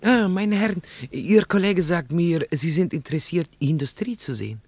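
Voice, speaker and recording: An actor playing a nervous, talkative young Russian interpreter in a radio drama, husky and emphatic, often repeating himself. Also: male voice, a little high, animated, normal speed, a little mellow, dry recording. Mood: eager, officious. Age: young adult.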